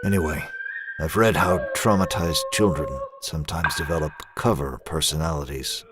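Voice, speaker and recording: A man playing a grim, brooding middle-aged vigilante with a grave, deliberate delivery in a motion comic. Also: very deep voice, wildly swooping pitch, heavily acted. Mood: guarded, probing. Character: grim, brooding middle-aged male masked vigilante recounting his ordeal. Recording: loud music under the voice.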